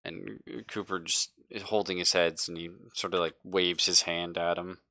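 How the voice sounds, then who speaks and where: Deep-voiced, bright-toned male game master. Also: little pitch movement, normal speed, vocal fry, no room sound. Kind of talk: narrating a tabletop game, casual.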